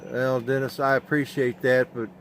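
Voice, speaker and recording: A man with an Oklahoma accent chatting on a live stream from his handheld phone outdoors, talking in a slow, halting monotone and answering viewers' comments. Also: mid-range voice, slight background noise. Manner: slow, drawling, halting with many fillers. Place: outdoors at sunrise.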